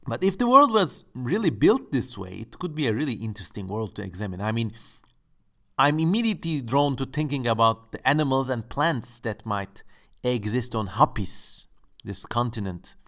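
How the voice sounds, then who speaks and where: A man speaking English hosts his channel from home, his voice sitting at an ordinary middle pitch. He muses along casually and playfully, with a tongue-in-cheek, joking manner. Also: very animated, moderate pace, fairly bright tone. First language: Turkish. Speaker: channel host. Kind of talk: casual exploratory commentary.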